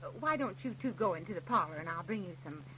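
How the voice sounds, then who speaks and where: A woman's voice: on the low side, animated, quick pace, pushed and bright, a little hiss or hum, poor audio. An actress playing a fussy, kindly, old-fashioned middle-aged American mother in an old-time radio drama. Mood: fussing, chiding.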